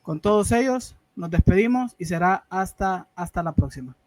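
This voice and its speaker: A man's voice: high-pitched, lively intonation, moderate pace, a little mellow. A man hosting an online interview show, closing it politely and often adding a questioning tag, over a video call. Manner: polite, filler-heavy, repeats "¿verdad?". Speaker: host.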